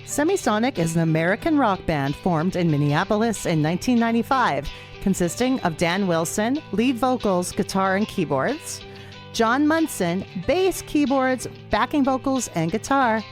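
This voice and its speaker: A woman's voice: medium pitch, expressive, normal speed. A woman podcast host reading a scripted band biography. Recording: music playing behind voice. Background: guitar music.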